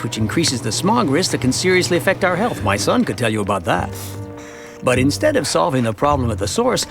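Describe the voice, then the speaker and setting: Middle-aged male as an earnest suburban dad narrating a cartoon short. Slightly high, lively pitch, chatty delivery. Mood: earnest, rueful. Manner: conversational, expressive storytelling. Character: chatty, earnest suburban family man telling his town's story. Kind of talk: scripted first-person narration for an animated educational short.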